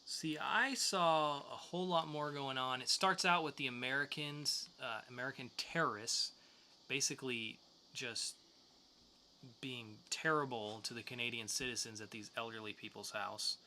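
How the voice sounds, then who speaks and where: A man's voice: medium pitch, normal speed, sharp tone, slight background noise. A man co-hosting a podcast, giving reviews in a casual, conversational way. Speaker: podcast co-host reviewing comics.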